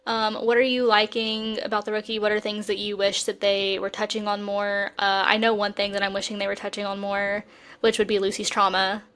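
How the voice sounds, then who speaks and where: A woman co-hosting a podcast, chatting casually about TV shows in an animated, fannish way. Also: medium pitch, a little fast, clear, resonant tone. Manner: chatty, animated fan talk, frequent "like". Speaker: podcast co-host.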